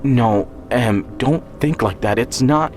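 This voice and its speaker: A man's voice: mid-range voice, slow, a little mellow, voice pushed up, close to an everyday voice. A man playing a troubled, bewildered male surgeon who tries to reassure, in an audio story. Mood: pleading.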